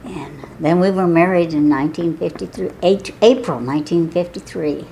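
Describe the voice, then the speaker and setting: A woman's voice: on the low side, moderate pace, vocal fry, warm tone, a little hiss or hum, rough recording. A woman in her eighties with a Southern Illinois accent, chatting and laughing as she reminisces in an oral history interview. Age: 80s. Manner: chatty, laughs often, with false starts. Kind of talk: casual reminiscing.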